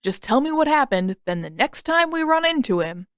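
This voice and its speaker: A woman's voice: sits high, big pitch swings, moderate pace, a bit bright, no room sound, clean studio sound, voice pushed up. A woman voicing a protective, suspicious teenage boy who prods insistently and with exasperation in a story reading.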